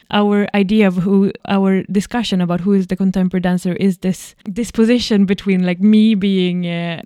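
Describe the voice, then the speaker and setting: A woman, talking loosely and casually, dropping "like" often. Her voice is mellow and warm at a middling pitch. She is recorded dry and close, with no echo. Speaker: choreographer. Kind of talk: casual conversation.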